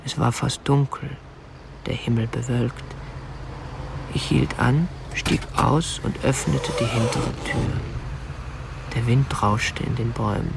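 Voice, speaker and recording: Prim young abductor, controlled, stiffly courteous, medium pitch, creaky voice, radio play. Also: male voice, steady tone, takes it slowly, long pauses, background noise. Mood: detached, confessional. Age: young adult. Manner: matter-of-fact, controlled, politely stiff. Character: prim, obsessive young male kidnapper confessing his crime. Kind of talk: radio drama narration and dialogue.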